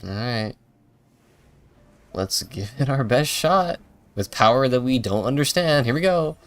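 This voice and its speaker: A man's voice: mid-range voice, lively intonation, unhurried. A man giving casual live commentary as he plays a game, thinking aloud as he streams. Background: faint game music.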